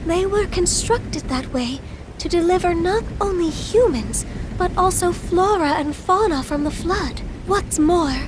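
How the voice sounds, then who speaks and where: An actress playing a solemn, learned young female sage in a video game, speaking in measured, old-fashioned formal phrases. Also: very high voice, animated, background noise. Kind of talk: English video game voice acting. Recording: game music behind the voice.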